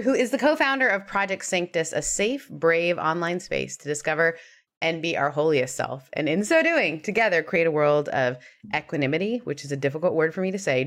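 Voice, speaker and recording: A woman's voice: sits low, a bit bright. Chatty woman hosting a podcast. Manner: chatty and friendly, laughs along, adds fillers like um. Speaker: podcast host. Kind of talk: casual podcast chat.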